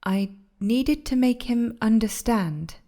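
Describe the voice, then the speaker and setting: An actress playing an eerily calm, evasive and confused woman in an audio drama, speaking in a measured, detached way. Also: fairly high pitch. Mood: guarded. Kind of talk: naturalistic audio drama acting.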